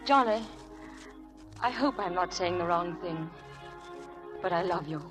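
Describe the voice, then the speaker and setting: An actress playing a shy, earnest, lovestruck young gentlewoman, hesitant and sincere, in 1940s radio drama style. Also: lowish voice, measured pace, over music, lightly acted.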